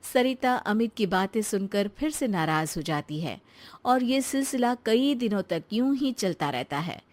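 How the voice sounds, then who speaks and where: A woman's voice: mid-range voice, lively intonation, unhurried, darkish tone. A woman storyteller reading scripted narration for a cartoon tale.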